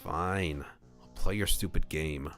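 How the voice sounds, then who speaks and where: A man's voice: extremely low pitch, takes it slowly, a bit bright, full character voice. A man voicing a wary, defiant visual novel protagonist, with music behind.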